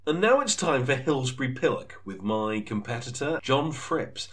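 A man hosting a comedy podcast in a mock-serious, deadpan announcer style. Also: medium pitch, normal speed. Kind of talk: mock-serious sketch presenting.

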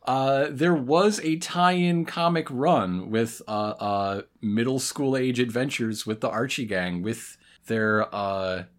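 A man's voice: medium pitch, takes it slowly. Wry, rambling man chatting casually. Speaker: podcast host. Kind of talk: casual chat.

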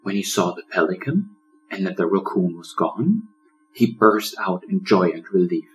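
Low-voiced man with animated storytelling delivery. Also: moderate pace, faint music behind, low-quality recording. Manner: dramatic, expressive storytelling. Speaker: author reading own audiobook. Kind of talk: children's story narration.

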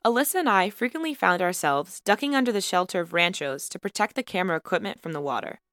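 A woman narrating a student podcast episode in a measured, lightly wry scripted style. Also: medium pitch, expressive, a bit bright, no echo, studio quality. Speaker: podcast host and student reporter.

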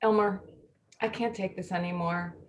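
A woman's voice: mid-range voice, steady tone, a little hiss or hum, lightly acted. Woman acting an anxious, flustered middle-aged woman. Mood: distressed. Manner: halting, repeating words, fretful. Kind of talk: play reading.

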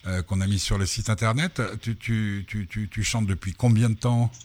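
A man's voice: fairly low pitch, fairly even intonation, moderate pace, darkish tone. A man hosting a casual radio interview, teasing his guests and rambling with frequent repetitions. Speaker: radio host.

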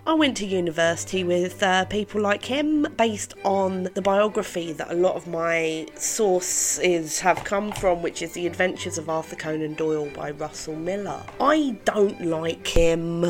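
A woman with a slight head cold, a bit nasal, hosting an educational literature podcast in a chatty, opinionated way with asides. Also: medium pitch. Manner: chatty and opinionated, reads quotes aloud with asides. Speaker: podcast host.

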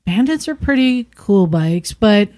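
A woman co-hosts a casual motorcycle podcast and keeps steering the others. She talks at a middling pitch and gives bike advice. The sound is dry and close. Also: animated, unhurried, warm tone, no echo. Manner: bossy, directs the others to read. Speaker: podcast co-host.

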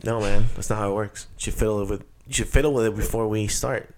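A man co-hosting a casual podcast chat, loud and easygoing, swearing casually. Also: on the low side, fairly even intonation, quick pace, a little hiss or hum. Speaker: podcast co-host. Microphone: podcast mic.

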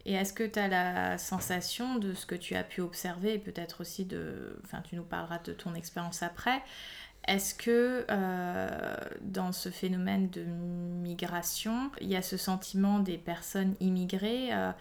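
A woman hosting a podcast interview, gentle and attentive, asking long probing questions. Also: lowish voice, fairly even intonation, unhurried, creaky. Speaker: podcast host. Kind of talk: interviewing a guest.

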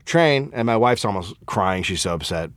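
A man's voice: lowish voice, animated, moderate pace, a bit bright, no room sound. A middle-aged American man co-hosting a comedy podcast, chatting casually, swearing freely and acting out quotes as he tells stories. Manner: profane, acts out quotes. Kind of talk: casual chat and comic storytelling.

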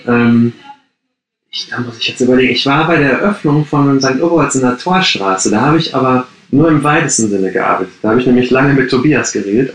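A man in his late thirties from the Ruhr area, answering interview questions casually and with many hesitations. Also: medium pitch. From Ruhr area, Germany. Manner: hesitant, many fillers and restarts. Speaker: coworking space co-founder, podcast guest. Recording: roomy echo.

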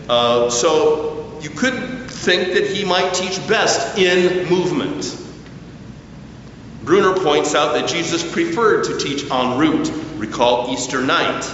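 A man delivering a sermon as a preacher, with deliberate phrasing and emphatic pauses. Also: medium pitch, fairly bright tone. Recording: very echoey hall, distant, slightly dull, faint noise.

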